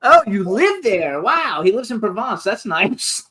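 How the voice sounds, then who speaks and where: A man hosting a live celebrity interview show, talking in a chatty, rambling, excitable way. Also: high-pitched, unhurried. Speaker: talk show host.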